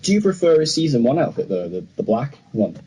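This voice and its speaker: A man's voice: mid-range voice, sing-song, fairly quick, dark tone. A man co-hosting a podcast in a casual chat style, talking through entertainment news. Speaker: podcast co-host. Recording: clear background noise.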